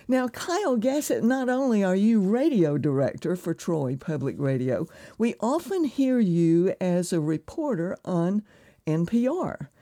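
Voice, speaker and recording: A woman interviewing guests on a public radio program, friendly and measured. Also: medium pitch, big pitch swings, dark tone.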